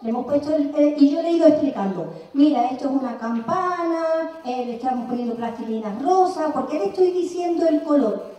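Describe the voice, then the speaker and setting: A woman in her sixties from Andalusia with an Andalusian Spanish accent, giving a lively, chatty talk on music teaching and sometimes singing short examples. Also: sits high, a little fast. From Andalusia, Spain. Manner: lively, chatty, sings examples, asks the audience. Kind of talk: conference presentation. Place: large conservatory auditorium, indoors. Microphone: handheld mic. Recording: very echoey hall, mic far off.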